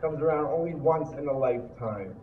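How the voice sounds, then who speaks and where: A young man performing spoken word poetry at a live slam, delivering his lines in an earnest, dramatic, deliberate way. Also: a little high. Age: young adult. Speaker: slam poet. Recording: echoey room, distant muffled mic, noisy.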